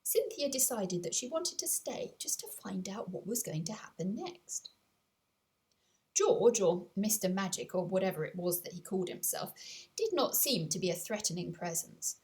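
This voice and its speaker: Expressive woman storyteller with lively pitch. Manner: expressive storytelling with deliberate dramatic pauses. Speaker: author reading their own audiobook. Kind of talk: scripted children's story narration.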